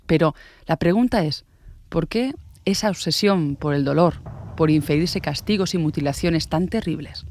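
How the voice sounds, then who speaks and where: A man with a fairly high voice presents a true-crime story from a script. Faint noise sits behind his narration.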